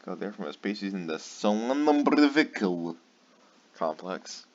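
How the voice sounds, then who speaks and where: A man's voice: lowish voice, sing-song, measured pace. A tired man reading aloud late at night, stumbling over words and breaking off for sarcastic, swearing asides. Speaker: channel host. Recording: dull lo-fi with faint noise.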